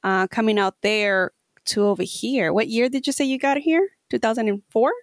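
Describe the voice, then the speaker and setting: A woman's voice: a little high, fairly even intonation, normal speed, no echo. Woman from Ecuador, podcast host, friendly, dry close mic. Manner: friendly, encouraging, frequent ums. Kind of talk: casual interview conversation.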